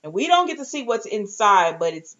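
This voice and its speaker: Female recap host, medium pitch, informal and conversational, retells and reacts; muffled home-recorded sound. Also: very animated, fairly quick, pushed and bright. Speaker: reviewer and host of a TV recap show. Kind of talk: casual recap chat with acted-out asides.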